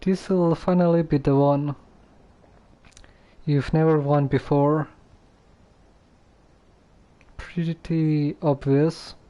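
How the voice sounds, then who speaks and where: Slow, mellow, skeptical young man. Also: fairly high pitch, steady tone. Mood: deadpan. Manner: unhurried, dry, hesitant. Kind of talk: video game character dialogue.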